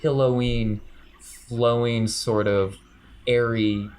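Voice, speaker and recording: A man's voice: mid-range voice, takes it slowly, clean tone. Easygoing man running a tabletop game. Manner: relaxed, joking, describes scenes with lots of fillers. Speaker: game master. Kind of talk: narrating a tabletop role-playing game.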